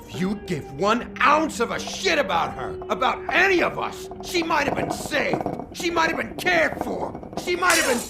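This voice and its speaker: Gravelly, bitter brawler. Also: male voice, very high voice, sing-song, normal speed, few pauses, over music, full character voice. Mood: furious. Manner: cursing, accusatory. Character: foul-mouthed, bitter, hot-tempered male brawler.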